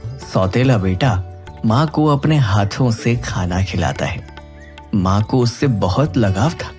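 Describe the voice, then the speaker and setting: A man's voice: fairly low pitch, animated, slow pace, a little mellow, faint music behind. A man narrating a scripted moral story as a storyteller.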